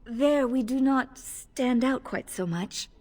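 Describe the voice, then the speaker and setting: A woman playing a modest, gentle elven lady-in-waiting in a video game, speaking politely and deferentially. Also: sits high, slow pace. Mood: guarded, wistful. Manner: polite, deferential, soft-spoken. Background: music.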